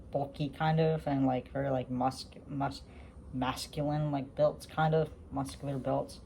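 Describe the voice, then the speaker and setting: A man's voice: fairly high pitch, gravelly, darkish tone, slight background noise. A young man talking casually to his viewers, rambling with many fillers and restarts. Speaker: vlogger. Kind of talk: casual talk to camera.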